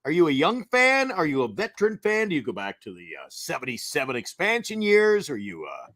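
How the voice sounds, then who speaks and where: Male co-host, slightly high voice, bright, wry and questioning, dry sound. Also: wildly swooping pitch, brisk, dry recording. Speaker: sports talk co-host. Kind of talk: casual live-stream sports chat.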